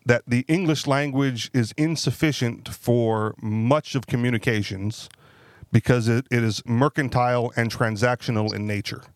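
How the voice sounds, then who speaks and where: A man's voice: mid-range voice, fairly even intonation, moderate pace, dry recording, clean studio sound. A man co-hosting a podcast chat, talking loosely with fillers and frequent tag questions. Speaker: podcast co-host. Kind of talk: casual panel discussion.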